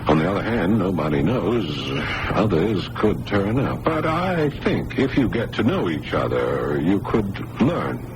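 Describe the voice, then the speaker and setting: A kindly male psychiatrist, measured and reassuring. His low voice moves with lively inflection over a noisy old broadcast recording. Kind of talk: radio drama acting.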